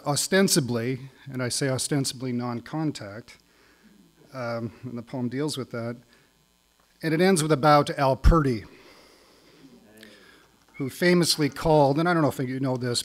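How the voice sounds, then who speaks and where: A middle-aged Canadian man performing as a spoken word poet on stage, with a wry, deliberate delivery. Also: mid-range voice. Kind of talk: live poetry recital with casual lead-in.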